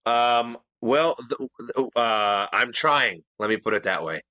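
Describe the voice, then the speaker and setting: A man's voice: mid-range voice, animated, takes it slowly, sharp tone, dry recording. A man co-hosting a podcast in casual, bantering conversation. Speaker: podcast co-host.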